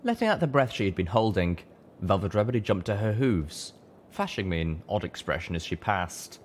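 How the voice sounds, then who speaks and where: A man with a low voice narrates a fantasy tale. He reads scripted first-person prose as an audiobook storyteller. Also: sing-song, moderate pace, a little hiss or hum. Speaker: audiobook reader.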